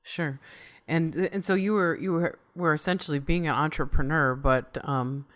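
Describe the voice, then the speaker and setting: A woman hosting a podcast interview, who keeps up steady affirmations and asks follow-up questions. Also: low-pitched, moderate pace. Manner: attentive, lots of short affirmations and follow-up questions. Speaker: podcast host. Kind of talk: interview host.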